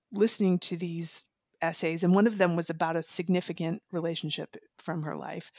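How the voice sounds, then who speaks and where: Female reviewer, conversational delivery, a little low in pitch, very dry sound. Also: darkish tone, dry recording. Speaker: guest book reviewer and magazine contributor.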